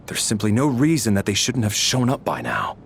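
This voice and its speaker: A stern, rigid army officer. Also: male voice, on the low side, huge pitch swings, brisk, vocal fry, a little hiss or hum, full character voice. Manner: formal, clipped and disapproving. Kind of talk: game cutscene voice acting.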